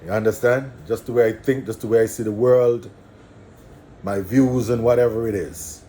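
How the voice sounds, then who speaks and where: A man's voice: mid-range voice, fairly even intonation, normal speed, dark tone, slight background noise. A man from Antigua with an Antiguan accent, talking his views in a casual, preachy monologue, repeating phrases for emphasis.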